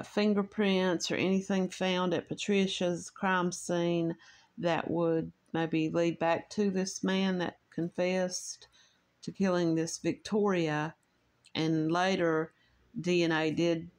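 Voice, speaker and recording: A woman with an Appalachian accent reading a true crime story in a measured, slightly halting way, stopping to share her own thoughts. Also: on the low side, level tone. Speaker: true crime podcast host.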